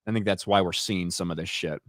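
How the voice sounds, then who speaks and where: A man's voice: low voice, steady tone, brisk, no room sound, clean studio sound. A man hosting a casual live-stream talk show, reading viewer messages aloud and chatting loosely, swearing now and then. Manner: reads viewer super chats aloud, swears freely. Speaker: show host.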